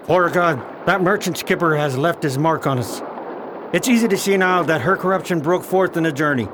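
Male actor as formal pirate mutineer, stern, declamatory, high male voice, radio play, noisy ambience. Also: normal speed, natural voice. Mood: grim. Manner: declamatory, formal archaic seafaring talk. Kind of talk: radio drama acting.

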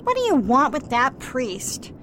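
A woman acts as a disapproving mother in radio drama. She speaks slowly and sternly in a high voice, over faint background rumble. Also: very animated, a bit bright, exaggerated voice. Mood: suspicious. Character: disapproving, suspicious mother of grown daughter. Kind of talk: amateur radio play acting.

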